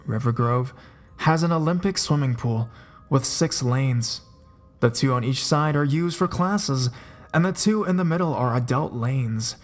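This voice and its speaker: A man with a fairly high-pitched voice reads true ghost stories aloud in scripted horror narration. He sinks lower and slows down at the tense moments, pulling the listener in. Quiet music plays underneath him. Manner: dramatic, drops low and slows for suspense. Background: faint music.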